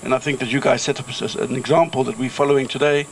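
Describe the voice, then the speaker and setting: A man's voice: medium pitch, fairly even intonation, quick pace, gravelly, a little hiss or hum. A middle-aged man from South Africa with a South African accent, chairing a kibbutz and giving a chatty, anecdotal speech to a reunion crowd outdoors. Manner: chatty anecdotal storyteller with filler-laden restarts.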